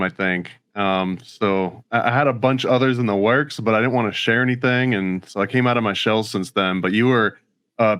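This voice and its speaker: A man's voice: mid-range voice, fairly bright tone, clear, resonant tone, no room sound, studio quality. A man hosting a podcast, chatting casually as he interviews. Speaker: podcast host.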